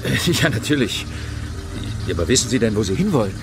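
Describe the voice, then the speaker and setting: An actor playing a puzzled, accommodating taxi driver, casual and hesitant, in a crime radio play. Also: male voice, medium pitch, very animated, fairly quick, music in the background. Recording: car engine noise behind voice.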